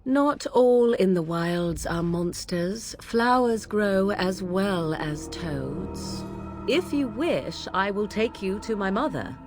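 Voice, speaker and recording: A woman's voice: mid-range voice, expressive, slow, over music. A woman playing an aloof, sardonic young witch in a video game, with haughty, archaic phrasing. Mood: coolly inviting.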